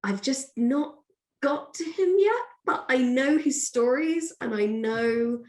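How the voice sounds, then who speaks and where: A woman's voice: high voice, animated, slow pace, a little mellow. A woman chatting casually as a guest author, informal and a little self-deprecating. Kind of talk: casual chat. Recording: echoey room.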